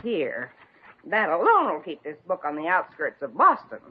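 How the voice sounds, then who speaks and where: Wisecracking landlady, dry and teasing, animated pitch, punchy delivery, dull vintage radio comedy recording. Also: moderate pace, a little hiss or hum. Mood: sardonic, teasing. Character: dry, sardonic rooming-house landlady with teasing zingers. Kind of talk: 1950s radio comedy acting.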